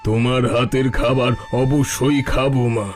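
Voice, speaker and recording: A man's voice: mid-range voice, steady tone, moderate pace, natural voice. A man playing an ailing, kindly old bandit chief. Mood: grateful, tender. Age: older. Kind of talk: Bengali cartoon story voice acting. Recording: music playing under the voice.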